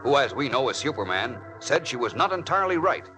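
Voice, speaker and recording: A man's voice: mid-range voice, very animated, a little fast, rough recording. A man narrating a 1940s radio serial as its announcer, suspenseful, emphatic and theatrical. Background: loud organ music.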